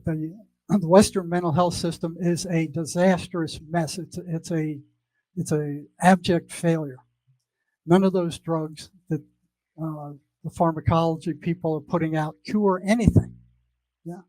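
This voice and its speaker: A man's voice: fairly high pitch, darkish tone, no echo, poor audio. An older man with an American accent giving an informal lecture in an emphatic, opinionated way, repeating points for stress. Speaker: speaker, former mental health worker.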